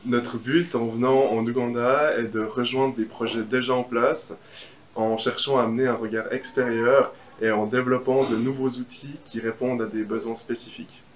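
Twenties male volunteer, even rehearsed delivery. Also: medium pitch, moderate pace, a little hiss or hum. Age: 20s. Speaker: volunteer archivist. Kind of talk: scripted testimonial. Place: outdoors in a garden.

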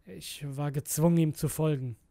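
Brooding male horror narrator, higher-pitched voice. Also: fairly even intonation, moderate pace, creaky, dark tone, dry recording, clean studio sound. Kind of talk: dramatic scripted narration of a creepypasta.

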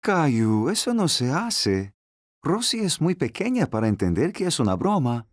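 A man playing a calm, kindly father in a cartoon, with a Latin American accent and a gentle, reasoning manner. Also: on the high side, moderate pace, no echo.

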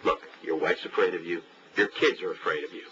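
Low-voiced actor as a private eye, noisy muffled tape. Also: male voice, steady tone, brisk, natural voice. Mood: firm, persuasive. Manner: casual, firm, blunt. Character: dogged, wry male private investigator. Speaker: private investigator. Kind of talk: naturalistic audio drama acting.

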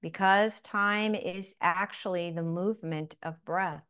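A woman leads a guided breathing meditation in a smooth, clear voice. She speaks very slowly and softly, leaving long pauses between short phrases. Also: fairly low pitch, steady tone. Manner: slow, soft-spoken, with long pauses.

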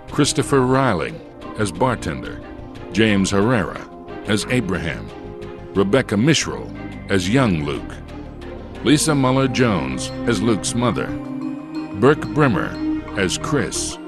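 A man reading scripted production credits as an announcer in a measured, formal way. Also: lowish voice, big pitch swings. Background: faint music.

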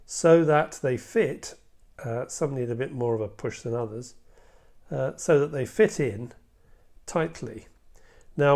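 A man in his sixties presents a hands-on model-making tutorial in a medium-pitched voice. He talks casually and a little hesitantly, explaining his work one step at a time. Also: darkish tone. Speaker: hobby video presenter. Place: indoor hobby room at a workbench.

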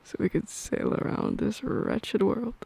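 A woman's voice: medium pitch, huge pitch swings, measured pace, creaky, darkish tone, slightly rough. A woman doing playful girlfriend ASMR, whispering and murmuring in a goofy, intimate manner. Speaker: ASMR roleplay performer.

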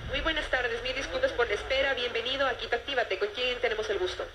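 A woman hosts a radio call-in show, and she talks quickly with a courteous, apologetic manner. Her voice sits a little high, with steady noise behind it. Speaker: radio host. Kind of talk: welcoming a caller on air.

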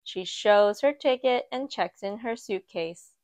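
A woman reading a children's picture book aloud in a gentle, expressive storytime voice. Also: mid-range voice, no echo. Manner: slow, gentle and expressive, lifting for quoted lines. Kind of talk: read-aloud storytime narration. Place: indoors at a table, book filmed from above.

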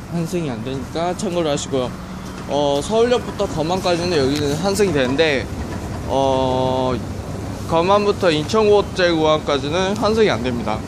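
A man giving a casual, off-the-cuff running commentary on a station tour, halting and repeating himself. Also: on the high side. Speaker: rail enthusiast filming a video. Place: on a train and in a railway station. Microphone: handheld camera or phone. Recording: loud train and station noise. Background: trains, station ambience.

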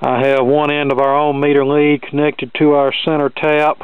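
A man explains a technical repair as he works, in a smooth, clear voice that stays very level in pitch. His delivery is plain-spoken and measured. The sound is thin and band-limited, like a phone line, with little room sound. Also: flat delivery, no echo. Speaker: hobbyist radio repair demonstrator. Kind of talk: hands-on repair walkthrough, talking through steps. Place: indoor cluttered electronics workbench.

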